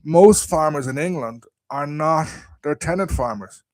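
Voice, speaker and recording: A man's voice: fairly high pitch, unhurried, no room sound. A man giving an informal live history talk, explaining conversationally and thinking aloud, his voice strained by a coming cold. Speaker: history presenter.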